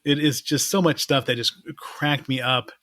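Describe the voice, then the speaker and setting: A man's voice: mid-range voice, moderate pace, dry recording, clean studio sound. A middle-aged man co-hosting a podcast in casual chat, laughing often as he talks. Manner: laughs often, animated and giggly. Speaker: podcast co-host.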